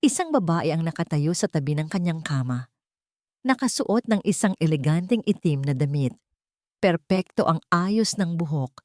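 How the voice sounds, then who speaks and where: A woman's voice: deep voice, animated, normal speed, a little mellow, no room sound, clean studio sound. A woman storyteller reading a scripted audiobook in a dramatic narrative style.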